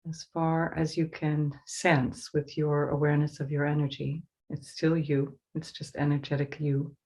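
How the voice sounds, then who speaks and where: A woman leading a guided meditation as a teacher, giving slow, soothing instructions with pauses. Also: sits low, little pitch movement, a little mellow, low-quality recording.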